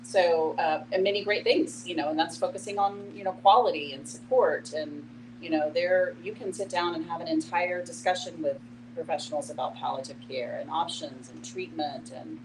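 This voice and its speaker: A woman's voice: on the low side, moderate pace. A woman explaining informally to a group, conversational and peppering her talk with "you know". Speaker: discussion participant. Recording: noisy hum behind voice.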